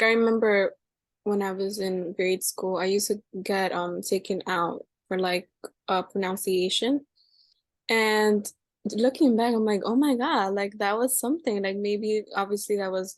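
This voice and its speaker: A woman's voice: mid-range voice, no room sound. A woman hosting a podcast who chats casually and rambles with many "like" fillers. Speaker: podcast host.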